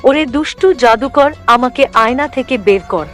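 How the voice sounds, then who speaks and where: A woman's voice: sits high, moderate pace, faint music behind, lightly acted. A woman voicing an insistent, emphatic female character in a fairy-tale cartoon. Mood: angry. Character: angry sorceress queen, or urging village mother.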